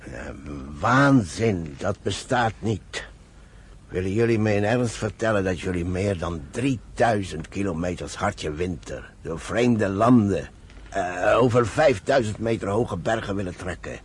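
An actor playing a cautious older rabbi in a radio drama, speaking gravely and deliberately as he counsels and admonishes. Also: on the low side, expressive, full character voice. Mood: incredulous, admonishing. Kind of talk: Dutch radio drama acting. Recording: noisy old radio play recording.

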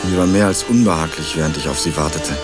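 An actor playing an earnest young male student investigator in a radio crime drama, narrating and questioning in a measured, dry way. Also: extremely low pitch, loud music behind the voice. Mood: measured narration. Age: young adult. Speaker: narrator and lead character.

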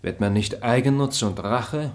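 An actor playing a reserved, formal noble traveller in a classic radio play, speaking in a measured way. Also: male voice, mid-range voice, close to an everyday voice. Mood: worried. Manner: measured, formal, grows indignant. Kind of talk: stage radio play acting.

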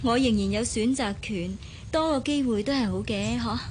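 Actress as high-voiced coquettish young secretary. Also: female voice, moderate pace, a little mellow, slight background noise, close to an everyday voice. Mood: teasing. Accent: Hong Kong Cantonese. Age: young adult. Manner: teasing, coy. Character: playful, coquettish young secretary who likes teasing. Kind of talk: Cantonese radio drama acting.

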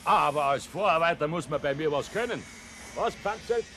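Bossy construction chief in a radio play, kinda high voice, curt, bit of traffic noise. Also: male voice, animated, moderate pace, bright, forceful tone. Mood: brisk, demanding. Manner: curt, businesslike, authoritative. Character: brisk, demanding construction firm boss. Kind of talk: naturalistic radio play acting.

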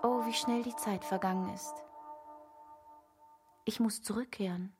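A woman's voice: medium pitch, steady tone, a little slow, lightly acted. An actress playing a coquettish married woman of about thirty, torn and imploring as she holds off a lover in a radio drama. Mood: wistful. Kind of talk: literary radio drama acting. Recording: loud music under the voice. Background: music.

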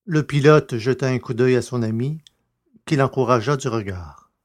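A man narrates a science fiction audio drama in a scripted, literary style. His pitch sits in the middle range. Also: normal speed.

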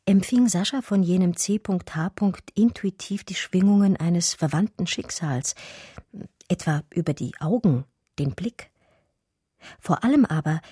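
Pensive woman art historian, radio play, low mellow voice, husky and breathy, lyrical, lovelorn. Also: dry recording, clean studio sound. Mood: searching. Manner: reflective, lyrical, hushed. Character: Natascha, pensive art historian grieving her lost lover. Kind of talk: intimate radio play monologue.

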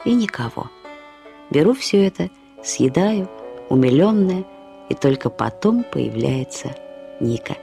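A woman reading literary prose as a radio storyteller, in an expressive, reflective, measured way. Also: low-pitched, vocal fry. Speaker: narrator of a short story. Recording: studio radio production with music. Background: music.

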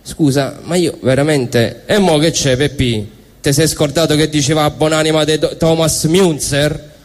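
Roman man, higher-pitched, colloquial live stage reader of comic dialogue, reverberant hall. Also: steady tone, normal speed. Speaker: stage performer. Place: indoor stage at a live event.

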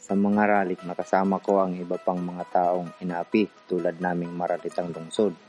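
Casting: actor as a resolute grassroots activist, lower-pitched man, earnest radio drama narration over soft music. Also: little pitch movement, moderate pace, lightly acted. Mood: determined reflective. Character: earnest, determined urban poor activist telling his story. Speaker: narrator and protagonist.

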